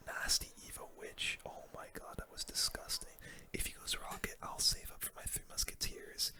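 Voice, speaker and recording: A person whispering casual, slangy ASMR game commentary in a breathy, unvoiced voice close to the microphone. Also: normal speed. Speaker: gaming video host.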